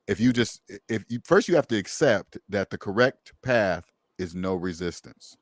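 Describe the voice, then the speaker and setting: A man's voice: fairly low pitch, expressive, normal speed, no echo, clean studio sound. A man hosting a casual conversation, speaking emphatically and preachily, repeating phrases for stress. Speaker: podcast host.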